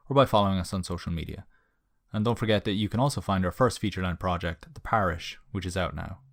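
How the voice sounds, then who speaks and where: Deep-voiced man making podcast announcements. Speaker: podcast host. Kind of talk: scripted announcement.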